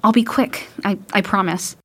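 Female actor as young survivor daughter, mid-range pitch, earnest, determined, hopeful, audio drama. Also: moderate pace, breathy edge. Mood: hushed, determined.

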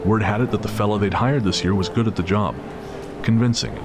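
A man's voice: lowish voice, a little fast, music in the background. A man narrating a scripted dramatic story as the narrator of an audio drama. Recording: clear background noise of city ambience.

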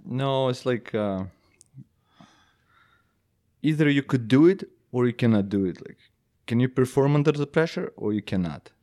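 A man from Belarus with a Belarusian accent, chatting casually on a podcast and talking in a plain, halting way full of fillers. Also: medium pitch, lively intonation, darkish tone. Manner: plain, halting, many "uh" and "yep". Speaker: jiu-jitsu competitor.

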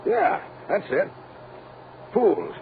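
A man's voice: high voice, wildly swooping pitch, drawn-out words, creaky, exaggerated voice. A man acting a brash, joking, impatient army major aboard a rocket ship in a 1940s radio drama. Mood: scornful. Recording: noisy narrow-band 1940s radio recording.

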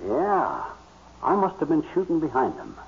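A man playing a folksy, sheepish, bumbling married man with an American accent in a halting 1940s radio comedy style. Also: on the high side, huge pitch swings, gravelly, full character voice. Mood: puzzled, sheepish. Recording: dull, noisy vintage radio recording.

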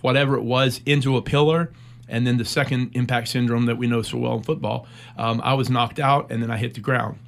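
A middle-aged American man, a military veteran, recounting a personal war story in an interview with measured, reflective pacing and frequent pauses. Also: medium pitch, fairly even intonation, vocal fry. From United States.

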